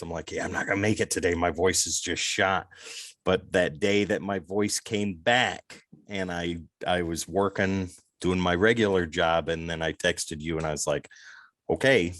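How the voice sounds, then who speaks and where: A man's voice: low voice, moderate pace, a bit bright, no echo, clean studio sound. A man co-hosting a podcast, chatting casually with many fillers and laughing often. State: recovering from losing his voice. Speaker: podcast co-host.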